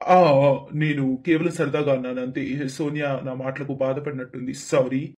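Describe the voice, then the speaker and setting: Guy playing a young husband in a story, normal pitch, chatty tone, curious n mild. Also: little pitch movement, normal speed, a little mellow, natural voice. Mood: apologetic. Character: young newlywed husband, curious and mildly apologetic.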